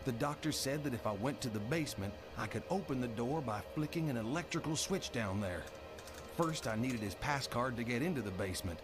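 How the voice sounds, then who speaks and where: Male survivor played by an actor, mid-pitched, grave game cutscene narration of a zombie outbreak, prominent music underneath. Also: lively intonation, a little fast, close to an everyday voice. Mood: grave, recounting. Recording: game audio with music over it.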